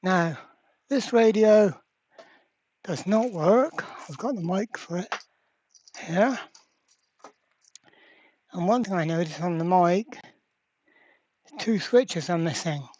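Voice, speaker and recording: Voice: medium pitch, slow, pauses often, a little mellow, highs cut off. A repair vlogger giving casual, hands-on narration, thinking aloud in a blunt, exasperated way. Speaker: host of a radio repair channel.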